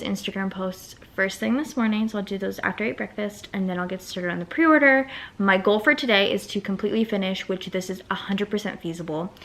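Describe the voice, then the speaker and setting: Chatty woman vlogging at home. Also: medium pitch. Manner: chatty, rambling, thinks out loud. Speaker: vlogger and small business owner. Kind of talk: casual vlog chat.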